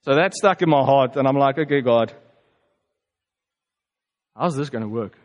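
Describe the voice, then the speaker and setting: A man's voice: medium pitch, muffled recording. South African man preaching in a reverberant hall. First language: Afrikaans. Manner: reflective, conversational, halting with fillers. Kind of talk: personal testimony sermon.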